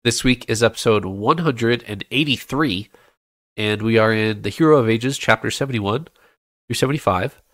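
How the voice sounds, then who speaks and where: A man's voice: mid-range voice, normal speed, dry recording, studio quality. A man hosting a casual podcast chat, leading the talk and handing out turns, sounding a bit nasal from a cold. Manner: relaxed, leads the talk and hands out turns. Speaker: podcast host.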